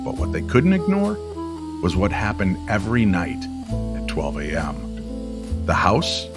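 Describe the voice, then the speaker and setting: A man telling a mysterious story in old-time radio style, expressive and measured, with weighty pauses. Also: deep voice. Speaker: co-narrator of an audio story series. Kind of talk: dramatic scripted story narration in old-time radio manner. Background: music under the voice.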